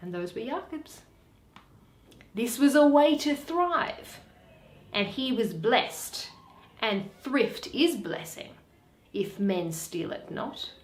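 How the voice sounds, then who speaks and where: A shrewd, wary moneylender with a grievance, acted by a woman in a Shakespeare play. The voice sits fairly high and swings widely in pitch, each stress placed with theatrical care. The room adds a clear echo. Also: animated. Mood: sly, storytelling. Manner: emphatic, deliberate, theatrical delivery with swooping stresses. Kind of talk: dramatic Shakespeare reading.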